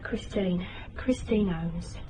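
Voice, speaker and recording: An actress playing a frightened young British single mother in radio drama, speaking in a halting, rambling way. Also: lowish voice, dark tone, far from the mic, slight background noise, poor audio. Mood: nervous, hesitant. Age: young adult.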